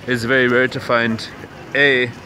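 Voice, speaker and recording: Young male vlog host from Canada, mid-pitch, rambling street monologue, clear city noise behind. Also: little pitch movement, unhurried, bright, forceful tone. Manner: rambling, musing, many fillers and restarts. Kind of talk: casual walking vlog monologue.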